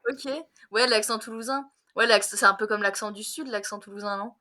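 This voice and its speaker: A young woman tutoring French on a video call. She talks fast, laughs a lot and fills her speech with hesitations. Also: medium pitch, steady tone, sharp tone. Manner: fast-talking, giggly, many fillers. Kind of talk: casual conversation lesson.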